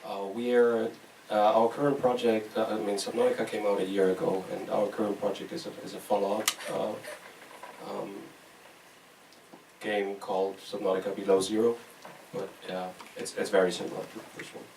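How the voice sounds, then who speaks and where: Echoey, lowish-voiced man on panel. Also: flat delivery, normal speed, darkish tone. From Germany. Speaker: game programmer, panelist. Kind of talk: casual self-introduction at a panel. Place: live talk event in a room. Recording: echoey room, distant mic, faint noise.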